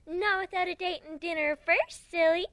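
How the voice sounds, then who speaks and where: A woman's voice: very high-pitched, animated, slow pace, a bit bright, full character voice. A woman voicing a bubbly, playful, girlish cartoon pegasus in a fan fiction reading, with a perky, cutesy manner.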